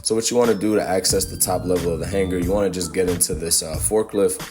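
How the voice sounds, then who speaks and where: A young man with a slightly hoarse voice from a cold, giving a laid-back, chatty game walkthrough full of fillers. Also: low-pitched, fairly even intonation, fast talker, darkish tone, faint music behind. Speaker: gaming guide creator. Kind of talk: casual game walkthrough commentary.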